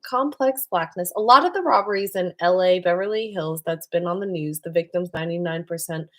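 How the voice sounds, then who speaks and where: A woman's voice: lowish voice, a little fast. Chatty woman co-hosting a livestream. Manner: chatty, animated, swears freely. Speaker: co-host reading viewer questions. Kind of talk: casual livestream chat.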